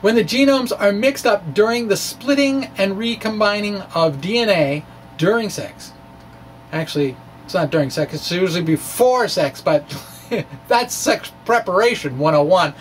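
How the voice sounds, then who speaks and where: High-voiced man, recorded a little far off. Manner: halting, wry, conversational asides. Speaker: science blogger and presenter. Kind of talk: dramatic reading of a blog with informal asides. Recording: distant mic with faint background noise.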